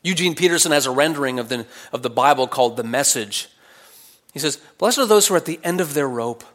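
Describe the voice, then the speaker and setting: A man preaching in an expository style, reading verses and explaining them conversationally, posing questions and answering them, swinging between emphatic phrases and quieter asides. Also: medium pitch, lively intonation, fast. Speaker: preacher teaching scripture.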